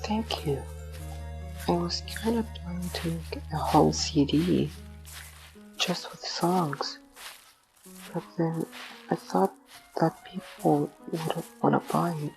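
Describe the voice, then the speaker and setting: Shy little ghost woman doing it, sooo slow and hesitant, breathy with some creak, audio drama. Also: deep voice, darkish tone, faint music behind, full character voice, poor audio. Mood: shy, wistful. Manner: hesitant, timid, apologetic. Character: shy, gentle floating ghost, unsure of itself.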